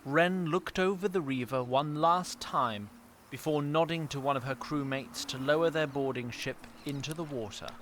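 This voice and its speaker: A man narrating a scripted fantasy audio drama in a measured storytelling manner. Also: on the high side, fairly bright tone, slight background noise.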